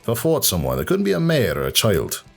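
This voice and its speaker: A man playing a surly, foul-mouthed drunken brawler with an Irish accent and a gruff, sardonic, husky delivery in a fan fiction audio drama. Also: on the low side, wildly swooping pitch, fast, faint music behind, heavily acted. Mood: gruff, matter-of-fact. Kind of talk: fan fiction audio reading, character acting.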